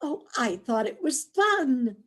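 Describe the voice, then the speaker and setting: A woman's voice: sits high, big pitch swings, slow, a bit bright, heavily acted. A woman playing a naive, eager, cheerfully enthusiastic tourist wife in an amateur staged play reading. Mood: delighted. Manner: eager, wide-eyed, chirpy.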